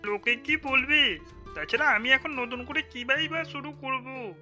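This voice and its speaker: A performer voicing a worried, hesitant husband in a cartoon, asking doubtful questions. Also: male voice, very high voice, normal speed, sharp tone, faint music behind, heavily acted.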